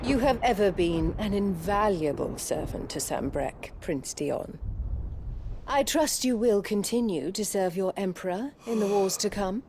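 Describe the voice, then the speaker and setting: An actress playing a cold, scheming imperial noblewoman in a video game drama, condescending and measured. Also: medium pitch, expressive, a little mellow, a little hiss or hum, natural voice. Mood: formal.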